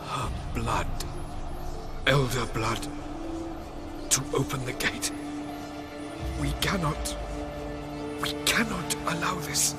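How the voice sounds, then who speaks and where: Frail male elf sage for fantasy game, weak and gasping, cryptic, slow fading delivery, mid pitch. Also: expressive. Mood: feeble, fearful. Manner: halting, fading, breathy gasps. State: near death, weak and gasping. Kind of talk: dramatic video game voice acting. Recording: loud music over dialogue.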